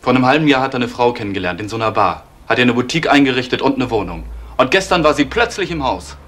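An actor playing an earnest, stubborn young man who worries about his missing uncle in a German radio crime drama, speaking haltingly. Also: medium pitch, animated, fairly bright tone, close to an everyday voice. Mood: earnest, insistent. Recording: clearly noisy old radio play recording.

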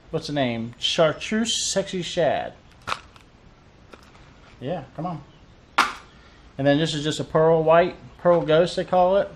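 A man in his thirties with a fairly high voice rambles casually about his gear, repeating phrases and leaning on fillers. He talks to a camera set on a desk in a cluttered room, with a faint hiss underneath. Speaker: fishing vlogger. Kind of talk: casual unboxing chat. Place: cluttered tackle room indoors.

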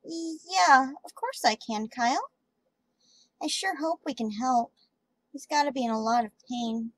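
Woman voicing a very high-pitched shy cartoon girl. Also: measured pace, a bit bright, no echo. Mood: caring. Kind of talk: amateur comic dub acting.

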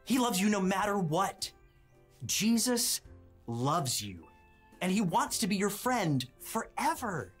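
Friendly children's storyteller, mail carrier, lively intonation, slow emphatic phrasing, soft music underneath. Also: female voice, medium pitch, husky, exaggerated voice. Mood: earnest storytelling. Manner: expressive, simple, emphatic phrasing.

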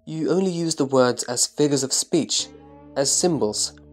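A man acts as a reverent male disciple in a scripture audio drama. He explains things carefully and deferentially in a mid-pitched voice over music. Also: lively intonation, a little slow, lightly acted. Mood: reverent, thoughtful. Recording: music behind the voice.

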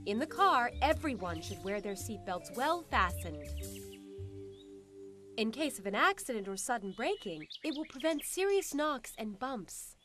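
A woman playing a friendly cartoon teacher, giving scripted, instructive narration to young children in an expressive way. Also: a little high, unhurried. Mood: cheerful, instructive. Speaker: presenter of children's road safety cartoon. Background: music.

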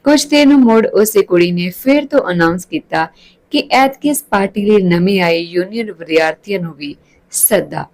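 A woman's voice: medium pitch, normal speed, a little mellow. A woman narrating a scripted story as a storyteller.

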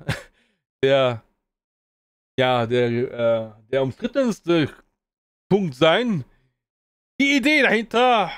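A man's voice: on the high side, dry recording. A man giving a casual spoken review of an old video game, rambling with many fillers and restarts.

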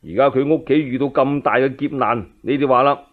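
A man's voice: medium pitch, fairly even intonation, a little fast, voice pushed down, natural voice. A man voicing an earnest, grieving poor labourer. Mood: earnest appeal. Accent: Cantonese. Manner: earnest, lamenting, exclamatory. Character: grieving, loyal poor male labourer rallying townsfolk. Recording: narrow-band, phone-like radio recording.

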